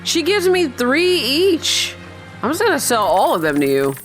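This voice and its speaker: A woman's voice: sits high, wildly swooping pitch, measured pace, faint music behind. A woman hosting a game playthrough with casual commentary, playful, irreverent and chatty. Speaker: gamer host of a playthrough.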